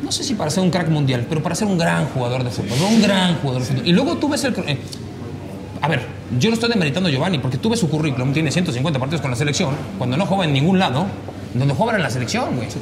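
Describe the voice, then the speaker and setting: A man's voice: fairly high pitch, rapid-fire. A man in his forties from Mexico, talking casually at a table with blunt, profane slang, on a lapel mic. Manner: blunt and profane, heavy slang, gestures a lot. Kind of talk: casual chat. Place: sitting at a table in a small room indoors. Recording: roomy with steady background noise.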